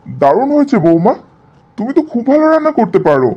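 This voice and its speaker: A man's voice: very high-pitched, sing-song, fast talker, breathy edge, full character voice. A man voicing a kindly, reassuring middle-aged father-in-law in cartoon dialogue, on a muffled recording. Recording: dull, muffled audio.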